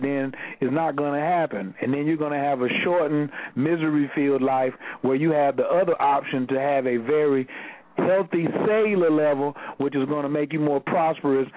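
A man hosting a call-in radio talk with an urgent, motivational delivery. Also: a little high. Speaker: radio host. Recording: tinny phone line.

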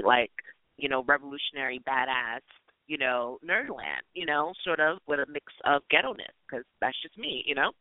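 New guy hosting a call-in show, kinda high voice, gushy and cussing a lot, thin phone-line sound. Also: no room sound. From New York City. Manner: gushing, effusive, slangy and profane. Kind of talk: casual call-in podcast chat. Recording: tinny phone-line audio.

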